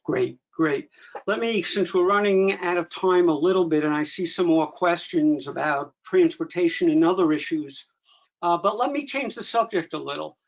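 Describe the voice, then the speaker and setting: A man's voice: a little high, normal speed. A man moderating an online meeting, conversationally putting questions to a guest. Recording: dull, lo-fi video call audio.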